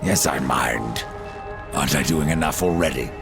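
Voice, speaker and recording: Very deep gravelly grim reaper horseman. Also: moderate pace. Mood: sardonic, irritated. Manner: curt, dry, sardonic. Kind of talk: video game character acting. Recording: loud game music behind.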